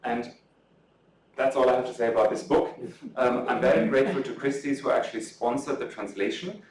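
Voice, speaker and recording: A middle-aged man speaks English with a German accent, pausing often with ums and restarting his sentences. His dry, self-mocking remarks come through clearly. He stands some way from the mic in a roomy, echoing lecture hall. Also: mid-range voice, far from the mic, a little hiss or hum. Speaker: author presenting his book. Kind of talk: lecture talk, then interview answers. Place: lecture room.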